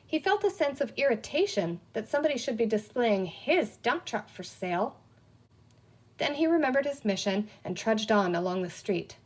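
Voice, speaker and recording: A woman narrates a children's storybook in a fairly high voice. She reads the scripted text expressively, as an audiobook reader. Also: lively intonation, normal speed, breathy edge.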